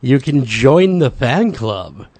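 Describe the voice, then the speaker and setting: A man hosting a casual interview, upbeat and joking, a bit gravelly tonight, with frequent stammering restarts. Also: medium pitch, big pitch swings, takes it slowly, a little mellow, no room sound. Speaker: radio show host.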